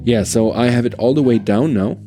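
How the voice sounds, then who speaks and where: A man's voice: medium pitch, fairly quick, mellow, soft background music. A man talking casually and musingly as he hosts a gear demo, often checking himself with a tentative "right?". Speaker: guitar gear reviewer and host. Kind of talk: casual product demo talk.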